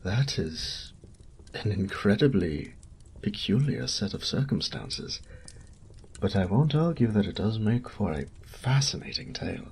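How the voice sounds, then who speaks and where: A man's voice: on the low side, sing-song, unhurried, gravelly, darkish tone, husky, heavily acted. A man voicing a courteous noble knight captain in a fantasy audio roleplay, speaking in formal, polished phrases and politely probing. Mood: grateful, formal.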